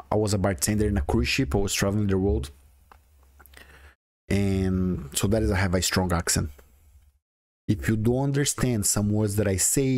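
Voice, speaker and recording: A man's voice: on the low side, darkish tone, dry recording. A man in his forties with a strong Brazilian Portuguese accent, chatting and thinking aloud as he comments on his own card game. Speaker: gaming video creator. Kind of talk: casual gameplay commentary.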